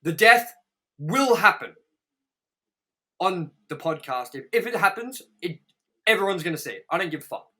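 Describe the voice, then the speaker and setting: A man's voice: sits high, expressive, normal speed, long pauses, bright, forceful tone. An Australian man hosting a podcast, talking casually and crudely in a ranting, swear-heavy film review. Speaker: podcast host.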